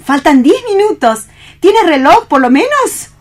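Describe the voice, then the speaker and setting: A woman's voice: extremely high pitch, big pitch swings, a little slow, exaggerated voice. An actress with a Spanish accent from Spain playing a bossy, flamboyant, seductive woman in a radio play reading. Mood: impatient, commanding. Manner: imperious, theatrical.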